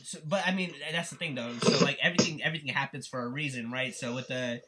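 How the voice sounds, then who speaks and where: A man's voice: medium pitch, fairly even intonation, fast talker, background noise. A man hosting a podcast interview, asking questions in a friendly way with stumbling restarts. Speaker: podcast host. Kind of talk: casual interview.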